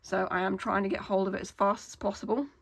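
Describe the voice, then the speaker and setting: A woman, hoarse from a cold, chatting casually as she unboxes toys. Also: medium pitch, flat delivery, quick pace. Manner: chatty, with frequent "um" fillers. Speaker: toy unboxing channel host.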